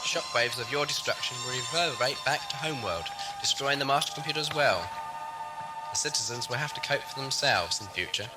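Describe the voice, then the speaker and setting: A man playing a flippant, decisive time-travelling doctor in an audio drama, with a brisk theatrical delivery. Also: mid-range voice, lightly acted. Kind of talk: fan audio drama acting. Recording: music over old cassette recording.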